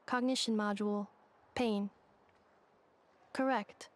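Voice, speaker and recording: Slow, clipped female robot acted by a woman. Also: mid-range voice, close to an everyday voice. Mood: analytical. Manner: clipped, flat fragments, literal, detached. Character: emotionless female robot messenger with missing memories. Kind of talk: video game voice acting.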